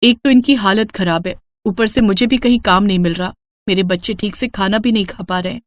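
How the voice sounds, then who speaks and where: A woman's voice: mid-range voice, brisk, natural voice. Casting: poor devout wife and mother acted by a woman, earnest storybook pleading, mid-range. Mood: prayerful pleading.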